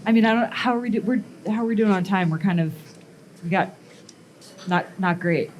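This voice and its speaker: A woman's voice: medium pitch, highs cut off, a little hiss or hum. Woman casually talking through a workshop demo. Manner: informal, halting, thinking aloud. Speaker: metalworking artist giving a demonstration. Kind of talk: casual live workshop demo, explaining steps. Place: live blacksmithing demonstration before onlookers.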